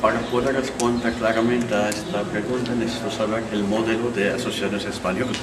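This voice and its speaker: A man from Morocco, not a native speaker of the language, answering interview questions haltingly, searching for words with many fillers. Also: fairly low pitch, little pitch movement. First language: not Spanish. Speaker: faculty official interviewed. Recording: echoey room, far from mic, loud crowd noise.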